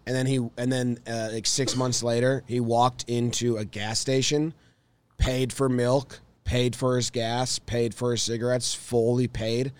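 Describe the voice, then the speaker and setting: A man with a hoarse voice hosting a casual sports podcast from a studio, joking and telling animated stories. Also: mid-range voice, unhurried. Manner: jokey, animated storytelling. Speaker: podcast host.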